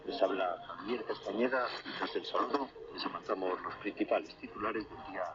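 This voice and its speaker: A male radio newsreader delivers scripted headlines and reports in a formal, measured way. His voice is on the low side. It comes through a dull, lo-fi shortwave reception that makes him sound far off. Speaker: radio news presenter. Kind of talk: scripted news bulletin reading. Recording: muffled shortwave radio reception, distant, crackly.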